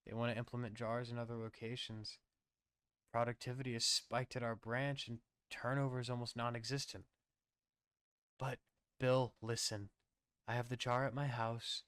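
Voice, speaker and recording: A man's voice: medium pitch, flat delivery, normal speed, fairly bright tone, smooth, no room sound, lightly acted. A man voicing a worried woman office manager in a horror story, earnest, careful and pleading.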